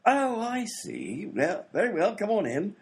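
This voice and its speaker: A man's voice: high voice, wildly swooping pitch, a little slow, full character voice. A man playing a fussy, absent-minded British doctor and inventor in a comedy radio play, blustering and full of hesitations. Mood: dithering, polite.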